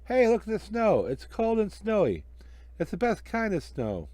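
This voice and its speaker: A man's voice: high voice, huge pitch swings, moderate pace, darkish tone, dry recording, pitched above the performer's own voice. A man voicing earnest, innocent young schoolchildren in a story, eager and pleading. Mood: excited.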